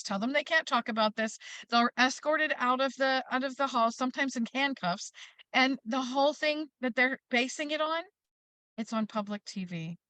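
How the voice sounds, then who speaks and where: A middle-aged American woman speaking as an interview guest, making an emphatic advocate's case and acting out quotes as she tells stories. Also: high voice. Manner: emphatic, animated storytelling with acted-out quotes. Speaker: interview guest, parents' advocacy group leader. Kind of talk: passionate advocacy talk in an interview.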